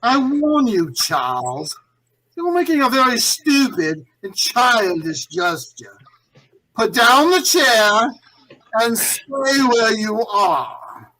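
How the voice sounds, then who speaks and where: Slow, menacing radio villain played by a woman. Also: a little high, big pitch swings, a bit bright, heavily acted, rough recording. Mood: cold menace. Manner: slow, deliberate threats. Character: menacing, coolly commanding criminal holding someone off. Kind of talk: 1940s radio acting.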